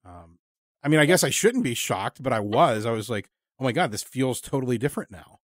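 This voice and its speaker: A man with a mid-pitched voice hosts a relaxed, conversational interview. He talks earnestly and ramblingly, often halting as he works toward his point. The recording is close and dry. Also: no echo. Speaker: podcast host and interviewer. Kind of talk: casual interview chat.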